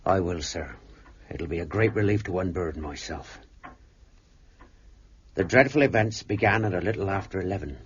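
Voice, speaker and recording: An actor playing a deferential, shaken sailor confessing to a horror in an earnest radio drama style. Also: male voice, low voice, lively intonation, normal speed, pauses often, a little hiss or hum. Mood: deferential confession. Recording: muffled, with music behind.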